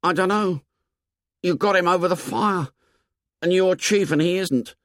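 A man's voice: high voice, unhurried, fairly bright tone, no room sound, clean studio sound, voice pushed up. A man voicing an anxious, sensible schoolboy in an audiobook, pleading and insistent in colloquial grammar. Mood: anxious, earnest.

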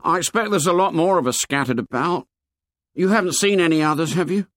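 A man's voice: fairly high pitch, fairly quick, a bit bright, no echo, clean studio sound, pitched above the performer's own voice, lightly acted. A man voicing an anxious, talkative young schoolboy with colloquial, ungrammatical speech in an audiobook. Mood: eager, chatty. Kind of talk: audiobook character dialogue.

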